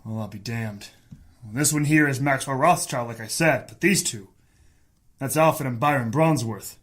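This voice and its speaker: An actor playing a world-weary, wisecracking private detective in a hardboiled noir audio drama, dry and sardonic. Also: male voice, mid-range voice, normal speed, fairly bright tone, close to an everyday voice. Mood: baffled, tense.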